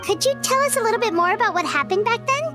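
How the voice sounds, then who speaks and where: A tiny fairy companion for a video game, with an extremely high, squeaky voice. She sounds childlike, chirpy and naively earnest, with light music playing behind her. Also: expressive, quick pace. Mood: curious. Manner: squeaky, naive, speaks of herself in third person.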